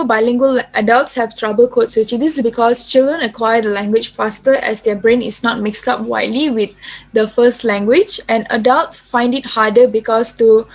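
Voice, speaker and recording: A woman's voice: a little high, rough recording. A young woman from Malaysia presenting in class, somewhat hesitant with fillers. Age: young adult. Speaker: student presenter.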